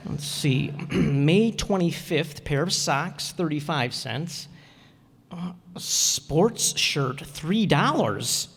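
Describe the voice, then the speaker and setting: A man's voice: fairly high pitch, lively intonation, drawn-out words, a bit bright, exaggerated voice. A man playing a bashful, literal-minded, penny-pinching teacher with a hesitant, earnest manner in an old-time radio comedy. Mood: earnest, fussy. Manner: hesitant, earnest, fussy, throat-clearing. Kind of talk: old-time radio sitcom acting before an audience. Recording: echoey hall, amateur stage performance.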